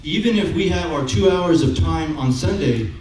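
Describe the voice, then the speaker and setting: A man's voice: a little high, lively intonation, moderate pace, darkish tone. Middle-aged guy preaching, keeps saying okay, right, big echoey church, far off mic, faint hiss. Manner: walks around the stage with a handheld mic. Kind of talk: sermon. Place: church sanctuary with brick wall. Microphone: handheld microphone, far camera.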